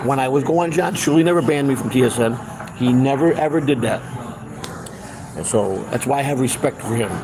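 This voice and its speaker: A man's voice: medium pitch, a little fast, noisy background. A man in his fifties hosting a casual, rambling live stream chat, often repeating himself for emphasis. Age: 50s.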